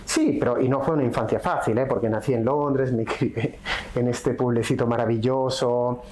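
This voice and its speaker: A man's voice: mid-range voice, steady tone, a little mellow. Reflective Italian-accented middle-aged man. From Italy. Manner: reflective, unhurried, often tags with "¿no?". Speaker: podcast guest. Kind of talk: casual conversation.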